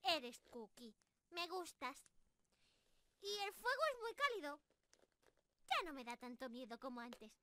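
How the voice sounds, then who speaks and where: A woman's voice: high voice, sing-song, unhurried, pauses often, sharp tone, no room sound, heavily acted. A woman voicing a cute, cheeky young squirrel girl in an ASMR roleplay, playful and pleading as she talks straight to the listener. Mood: soft, content. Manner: playful, genki, pleading and apologetic.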